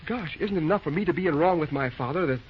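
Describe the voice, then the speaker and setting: An actor playing an easygoing, slangy young railroad boss in an old radio drama, with a muffled recording. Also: male voice, a little high, rapid-fire, slight background noise, lightly acted. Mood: troubled. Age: young adult. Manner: slangy, breezy. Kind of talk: 1940s radio drama acting.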